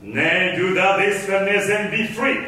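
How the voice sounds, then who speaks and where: A man acting a scheming, self-assured merchant on stage, declaiming Elizabethan drama with deliberate grandeur. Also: sits high, bright, forceful tone, recorded from a distance. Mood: commanding. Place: concert hall stage, far camera. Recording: echoey hall from afar, faint noise.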